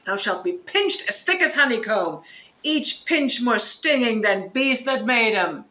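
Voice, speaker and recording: A woman's voice: a little high, expressive, a little slow, pushed and bright. An actress playing a stern, imperious sorceress and mother in a Shakespearean audio drama, with declamatory delivery. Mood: scornful.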